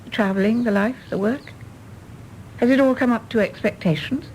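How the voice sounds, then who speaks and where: A woman playing a composed, wistful and candid English widow in a radio drama, with an English accent and a measured, genteel manner. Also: fairly high pitch, darkish tone, slight background noise, natural voice, rough recording. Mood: candid, curious. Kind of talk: British stage-play radio drama acting.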